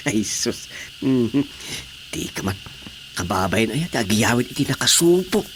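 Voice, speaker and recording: Evasive husband, medium-pitched, easygoing in radio comedy, faint noise behind. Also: male voice, very animated, unhurried, a bit bright. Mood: casual, defensive. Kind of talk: comedy radio drama acting.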